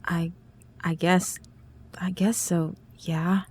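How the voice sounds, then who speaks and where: An actress playing an anxious, caring mother in an audio horror drama, gentle and hesitant. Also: lowish voice, level tone, slow pace, breathy edge. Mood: nervous, hesitant.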